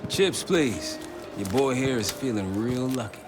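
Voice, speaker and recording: A man playing a confident, smooth-talking squad leader in a video game, with an assured and casual way of talking. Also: medium pitch, lively intonation, measured pace, lightly acted. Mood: breezy, cocky. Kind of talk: video game cutscene acting. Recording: loud music behind the voice.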